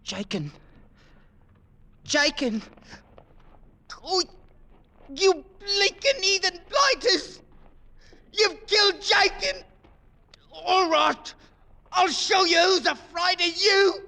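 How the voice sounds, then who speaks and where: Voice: extremely high pitch, very animated, very slow, creaky, pauses often, sharp tone, full character voice. An actor playing a cocky, scornful Cockney boy soldier in an old-time radio drama, brash and needling in slangy speech. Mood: anguished fury. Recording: vintage radio broadcast recording.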